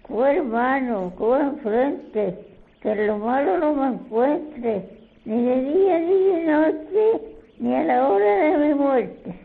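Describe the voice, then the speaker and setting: A woman playing a devout villager who recites an old rhymed folk prayer in a measured, incantatory way. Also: high-pitched, expressive, vocal fry, exaggerated voice. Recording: thin, phone-like narrow sound.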